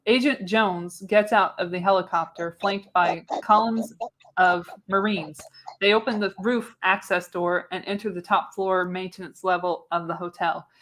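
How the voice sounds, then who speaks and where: A woman's voice: fairly low pitch, steady tone, normal speed, fairly bright tone. Woman narrating a radio play script. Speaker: narrator, show host. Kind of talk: dramatic reading of screenplay stage directions.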